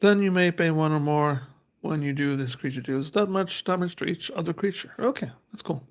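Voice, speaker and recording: Guy unboxing stuff, bit high voice, lots of pauses while he reads card text. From Norway. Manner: hesitant, thinking aloud with frequent pauses. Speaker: host. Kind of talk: casual unboxing commentary, reading card text aloud.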